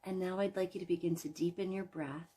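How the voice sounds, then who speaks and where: A woman leading a guided imagery relaxation in a soothing, gentle manner, leaving long pauses between phrases. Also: lowish voice, fairly even intonation, slightly rough. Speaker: guided meditation host.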